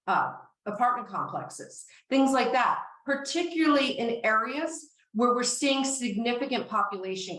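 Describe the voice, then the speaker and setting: A woman's voice: fairly high pitch, fairly bright tone. A woman co-hosting a finance podcast, explaining eagerly in casual talk while losing her voice. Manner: enthusiastic, explanatory. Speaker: podcast co-host. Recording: echoey, distant from the mic.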